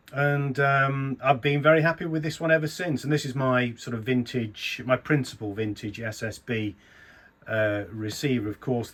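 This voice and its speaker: A man with an English accent and a medium-pitched voice talks as a radio amateur. His delivery is conversational and keen, like one hobbyist chatting to another over the air. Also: a bit bright. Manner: chatty, enthusiastic. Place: small indoor radio room.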